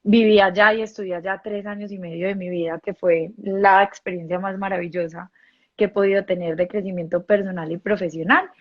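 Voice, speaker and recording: A woman's voice: mid-range voice, steady tone, fairly quick, lo-fi sound. A woman from Colombia with a paisa accent and a slight Mexican touch, a sexologist explaining her work at length as a guest in an online live interview.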